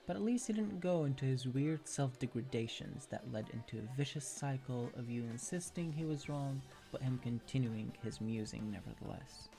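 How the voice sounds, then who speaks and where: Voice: mid-range voice, mellow. A narrator reading second-person fan fiction in an ASMR storytelling style.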